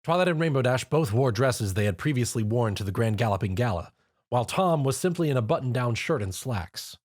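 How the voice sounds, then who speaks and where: A man narrates a scripted story. His voice has a medium pitch. His delivery is animated, with plenty of rise and fall. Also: a little fast, no echo, clean studio sound.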